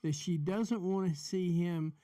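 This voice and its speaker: A man with a Southern drawl talks in a high voice, rambling and chatty as he hosts a live watch-along for his viewers. The sound is close and dry, with no room echo. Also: a little slow, dark tone. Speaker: livestream watch-along host. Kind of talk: casual live commentary and chat.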